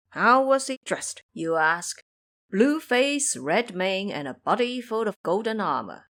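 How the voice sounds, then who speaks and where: A woman's voice: fairly low pitch, fairly bright tone. A woman narrator telling a story in a dramatic, scripted audio drama style.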